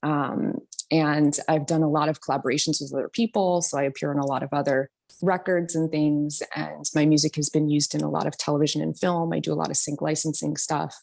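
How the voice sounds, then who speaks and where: A woman in her thirties from New York talks through her story as an interview guest. Her voice sits low, and she fills pauses with ums and soft laughs. It comes across as easy, chatty conversation. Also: fairly even intonation, gravelly. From New York City. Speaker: guest, singer and voice teacher. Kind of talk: casual interview talk.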